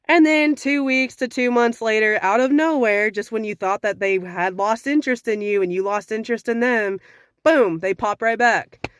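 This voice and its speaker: A young woman with a slightly raspy voice from sinus trouble, giving frank dating advice in a casual talk to camera. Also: on the high side, normal speed, no echo. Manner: frank, conversational, sassy.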